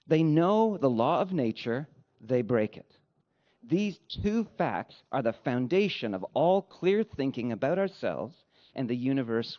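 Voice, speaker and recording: A man preaching a sermon as a pastor, with an emphatic, measured rhetorical delivery. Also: medium pitch, lo-fi sound. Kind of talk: sermon with read-out quotations.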